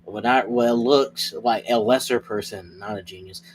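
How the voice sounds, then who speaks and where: A man playing a tabletop roleplay game, bantering casually and often repeating his phrases haltingly. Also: on the low side, slight background noise, rough recording.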